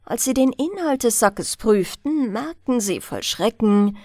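Female audiobook narrator for bedtime fairy tales: slightly high voice, very lively intonation, unhurried. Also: no echo, studio quality. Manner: expressive, unhurried storytelling. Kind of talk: bedtime fairy tale reading.

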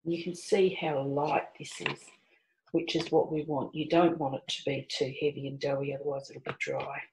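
A woman's voice: sits low, little pitch movement, normal speed, darkish tone. An older woman hosts a home cooking demonstration, explaining each step in a chatty, homely way while she works in her kitchen. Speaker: cooking show host. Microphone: camera on the counter. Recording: echoey kitchen with clicks and noise.

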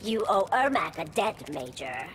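An actress playing an imperious, taunting fighter in a video game, with theatrical delivery. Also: female voice, lowish voice, very animated. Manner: slow, theatrical. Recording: noisy game audio mix.

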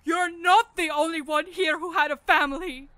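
A woman's voice: very high voice, steady tone, normal speed, pushed and bright, no room sound. A woman playing a fiercely protective, grieving mother in a survivor camp drama, confrontational and emotional. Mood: anguished anger.

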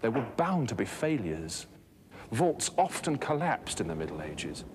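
A man in his thirties presenting a scripted educational documentary in a measured, articulate, expository manner. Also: medium pitch, big pitch swings. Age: 30s. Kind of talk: scripted educational narration on architecture. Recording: noisy old documentary film soundtrack.

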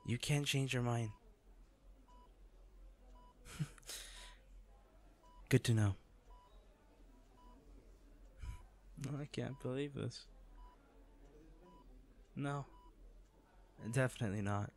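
Guy acting as a hurt boyfriend in a romance audio roleplay, tender and halting, takes it real slow. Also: medium pitch, darkish tone. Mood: relieved, playful. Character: injured, devoted boyfriend proposing from a hospital bed.

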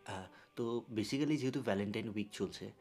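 A man's voice: on the low side, normal speed, a little mellow. A man, talking casually as a prank-call comedy host. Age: 20s. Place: indoor room, close to camera.

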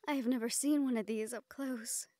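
A woman playing a gentle, playfully reproving fantasy sweetheart in a romantic audio roleplay, speaking tenderly in formal phrases. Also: fairly high pitch, fairly even intonation, moderate pace, dry recording, studio quality, lightly acted. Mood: soft, earnest. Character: gentle, playfully scolding fantasy woman courting a dragon general.

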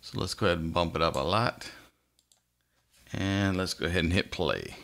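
A man with a fairly low voice and a bright, forward tone. He talks like an informal tutorial host, walking listeners step by step through software in a casual, folksy manner. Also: normal speed, vocal fry, slight background noise.

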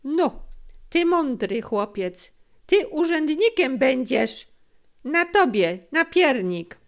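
A woman's voice: a little high, big pitch swings, slow pace, voice pushed up. Woman putting on a shrewd haggling junk dealer man. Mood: admiring. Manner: phlegmatic, haggling in broken sing-song phrases. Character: phlegmatic, haggling male junk dealer, shrewd and self-righteous.

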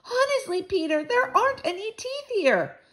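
A dramatic schoolgirl, played by a woman in a children's audiobook, whining and pleading in a high voice. She stretches her words tearfully and sounds defensive, with wide swings in pitch. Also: very animated, fairly bright tone, full character voice. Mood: whiny. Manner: wailing, pleading, drawn-out words.

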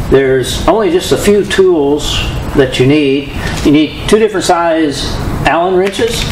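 An older man, a store owner, giving a relaxed, plain-spoken product demonstration with pauses and fillers. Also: fairly high pitch. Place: large outdoor gear shop, indoors. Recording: echoey shop with air conditioning hum.

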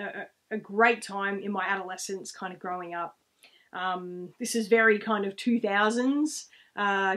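A woman's voice: medium pitch, a bit bright. A woman in her thirties with an Australian accent, telling stories casually to camera in a rambling, reflective way. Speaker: vlogger. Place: small room at home, indoors, seated.

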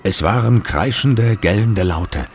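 An actor as a grave storyteller, narrating a horror audio drama in a solemn, suspenseful manner. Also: male voice, fairly low pitch, animated, slow pace.

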